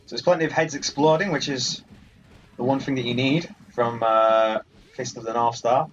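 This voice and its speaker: A man's voice: mid-range voice, normal speed, dull audio, slight background noise. A man giving casual let's play commentary in a rambling, offhand, dryly wry way. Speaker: gaming video host.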